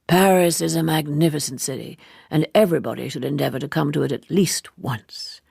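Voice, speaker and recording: A woman voicing a worldly, detached man in a storybook, speaking slowly and formally with cool evasiveness in a husky, creaky voice. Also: low-pitched, darkish tone, no echo, clean studio sound, exaggerated voice.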